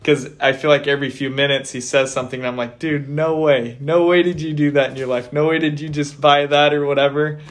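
A man's voice: a little high, little pitch movement, a little fast, hardly any pauses. A young man hosting a podcast, upbeat and enthusiastic, with casual slang. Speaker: podcast host. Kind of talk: podcast interview.

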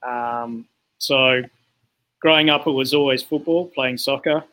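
A man's voice: mid-range voice, steady tone, measured pace, bright, forceful tone, rough recording. A man born in Zambia and raised in England, a guest explaining his work at length in a casual podcast interview, with frequent "you know" fillers. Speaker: guest, founder of a futsal organisation.

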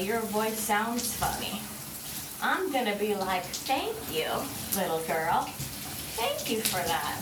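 A woman in her thirties casually rambling to her listeners in a wry, self-deprecating, hesitant way, swearing freely. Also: medium pitch. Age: 30s. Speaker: vlogger. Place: in a bathroom shower. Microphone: phone at some distance. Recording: roomy, distant mic, running shower water noise. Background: running shower water.

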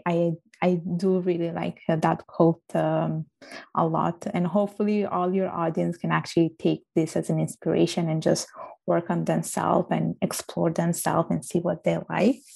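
A woman with a strong Italian accent talks as a guest, earnest and emphatic. Her voice is low and gravelly, with a mellow, dark tone. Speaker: interview guest. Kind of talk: casual interview chat.

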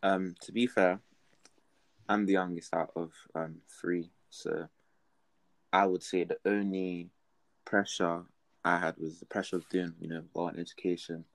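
Deep, gravelly teenage man. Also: steady tone, measured pace, no echo, rough recording. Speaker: podcast guest, student. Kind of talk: casual chat.

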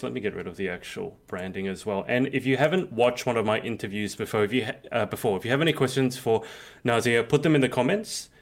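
Man hosting a live show, low voice, relaxed, lots of ums. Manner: casual, chatty, with many fillers. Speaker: host. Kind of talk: livestream interview.

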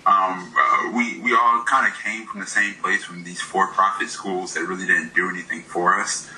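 A man's voice: sits low, fairly even intonation, moderate pace, pushed and bright, slight background noise, rough recording. A man in his thirties from Michigan, an indie game developer, talking casually in an interview, hesitant with many fillers and laughs, his voice slightly worn.

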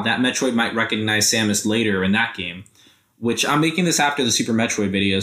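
A man's voice: on the low side, sharp tone, echoey room. A young man hosting a casual solo podcast, rambling and hesitant with many fillers, his voice tired from a sore throat. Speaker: podcast host.

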